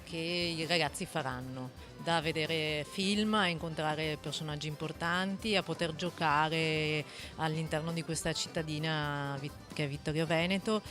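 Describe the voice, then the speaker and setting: A woman's voice: deep voice, steady tone, measured pace, few pauses. A woman speaking as a festival director, giving informal interview answers. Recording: music playing behind the voice.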